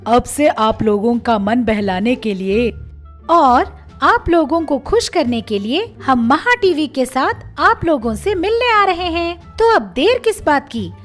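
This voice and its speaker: A friendly mother-in-law mascot , voiced by a woman, greets the audience slowly and invitingly. Her voice sits high. Faint music plays beneath. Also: expressive. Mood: welcoming.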